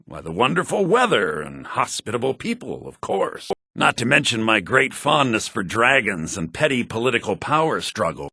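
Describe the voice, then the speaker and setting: A man's voice: medium pitch, big pitch swings, moderate pace, vocal fry, hardly any pauses, pushed and bright, husky, dry recording, clean studio sound, full character voice. An actor playing a smug, sly townsman in a fantasy video game, with a sardonic, self-important and theatrical delivery. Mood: sarcastic.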